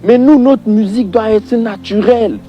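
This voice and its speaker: A man's voice: high-pitched, moderate pace, muffled recording. A man giving an informal religious opinion monologue, exasperated and emphatic, repeating points and addressing the listener directly.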